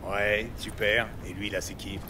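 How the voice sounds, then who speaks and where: An actor playing a sardonic, reluctant cop in an audio drama, blunt and grumbling. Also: male voice, on the low side, flat delivery, measured pace, background noise, natural voice. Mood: sarcastic. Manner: sarcastic, blunt. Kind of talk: French audio drama acting.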